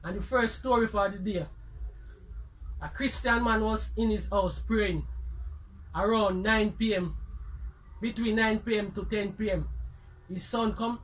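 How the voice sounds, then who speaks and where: A man's voice: high voice, fairly even intonation, measured pace, long pauses, rough recording. A man with a Jamaican accent hosting and telling comic stories in Patois-tinged speech. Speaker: storyteller host. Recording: faint background noise.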